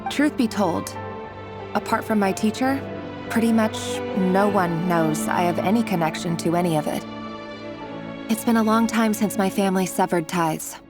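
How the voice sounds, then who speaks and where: Woman voicing earnest young game heroine, measured, guarded, resolute, protective, soft music behind. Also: mid-range voice, close to an everyday voice. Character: earnest, secretive, protective young woman hiding her lineage. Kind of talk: scripted video game voice acting. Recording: game dialogue with music underneath.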